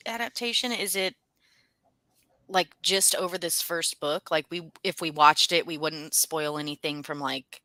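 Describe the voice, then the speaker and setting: A woman chatting informally and a little haltingly as co-host of a book discussion. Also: deep voice, steady tone, sharp tone, no room sound, clean studio sound. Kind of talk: casual chat.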